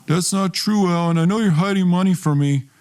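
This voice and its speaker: A man's voice: high voice, a little fast, a little mellow, lightly acted. A man playing a hostile, bitter young best friend in dramatized dialogue, accusatory and confrontational. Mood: accusing.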